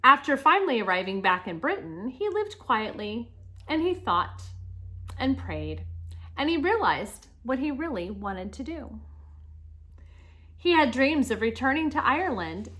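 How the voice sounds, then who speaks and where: Animated woman reading aloud to children. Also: fairly high pitch, unhurried. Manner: addresses young listeners directly, explanatory. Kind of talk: children's picture-book read-aloud with casual asides.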